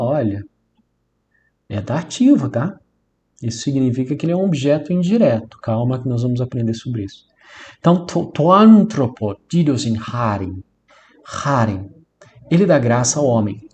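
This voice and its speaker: A man's voice: mid-range voice, warm tone. A man with a Brazilian accent giving an informal lesson as a teacher, repeating and stressing key points in a didactic way. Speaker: Biblical Greek teacher. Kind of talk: informal instructional lecture.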